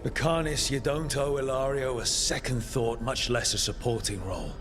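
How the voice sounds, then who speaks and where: A man's voice: medium pitch, normal speed, music in the background, natural voice. Man playing an earnest, resolute hero. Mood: determined. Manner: earnest, reassuring. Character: earnest, resolute, loyal hero pleading for a trapped companion. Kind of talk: video game character acting.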